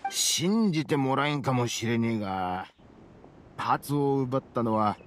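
A man playing an earnest, aggrieved caravan merchant in an anime game, with gruff colloquial wording and emphatic delivery. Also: medium pitch, animated, moderate pace, slight background noise, natural voice. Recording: game music behind the voice.

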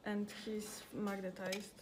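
A woman painter quietly explaining her work step by step in casual chat. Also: medium pitch, level tone, slow pace, poor audio. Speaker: studio painter. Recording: loud workshop background noise.

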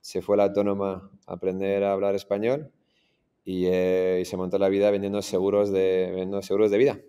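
A man in his forties with a Madrid Spanish accent, telling his story as an interview guest on a video call, relaxed and full of restarts. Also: low voice, fairly quick, smooth, dull audio. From Iran, raised in Madrid. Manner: relaxed, many restarts and fillers. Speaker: startup founder guest. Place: in front of a green screen, indoors.

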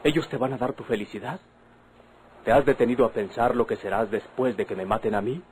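An actor with a Mexican accent playing an earnest young husband, a bandit's son, persuading his wife in measured, formal radio-drama diction. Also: mid-range voice, close to an everyday voice. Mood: insistent. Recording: noisy vintage radio drama recording.

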